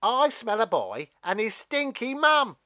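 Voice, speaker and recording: A man voicing a boastful, mean talking beanstalk in a children's storybook, loud, theatrical and gloating. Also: very high-pitched, wildly swooping pitch, unhurried, voice pushed up, exaggerated voice. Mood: menacing, gloating.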